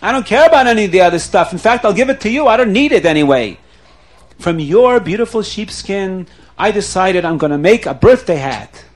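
A man telling a children's story, voicing an excitable, grateful nobleman count with emphatic delivery. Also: high voice, fairly quick. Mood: grateful, generous. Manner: emphatic, exclaiming.

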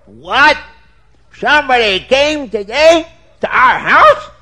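A man voicing a gruff, short-tempered householder who blusters with indignation. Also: high-pitched, very animated, takes it slowly, sharp tone, pitched above the performer's own voice, full character voice. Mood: indignant.

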